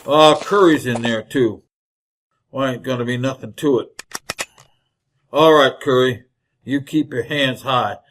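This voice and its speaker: A man's voice: medium pitch, measured pace, pauses often, fairly bright tone, a little hiss or hum, close to an everyday voice. A man playing a greedy, scheming frontier outlaw with rustic, folksy, drawling speech in an old-time radio western. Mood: bossy. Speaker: actor in supporting role.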